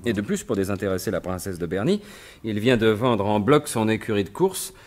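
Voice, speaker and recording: An actor playing a sly, self-assured gentleman thief turned sleuth in an animated 1950s-style French radio mystery. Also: fairly low pitch, a little fast, lightly acted. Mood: confident, explaining.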